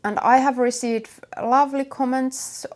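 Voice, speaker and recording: A woman with a Finnish accent chats casually to her viewers. Her voice sits a little high, and she speaks very slowly and hesitantly, pausing often as she searches for the next words. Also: steady tone, a little mellow. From Finland. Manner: hesitant, halting with many pauses. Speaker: knitwear designer and vlog host.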